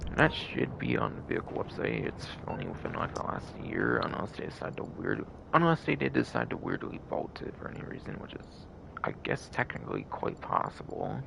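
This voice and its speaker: A man's voice: lowish voice, huge pitch swings, a little fast, gravelly, background noise. A man hosting a game livestream with casual commentary, rambling and often repeating and second-guessing himself.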